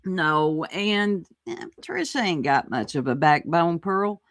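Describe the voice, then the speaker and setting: A woman's voice: fairly low pitch, big pitch swings, slow pace, dry recording, clean studio sound. A woman hosting a recap, commenting on a clip in a teasing, mocking way. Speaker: commentary host.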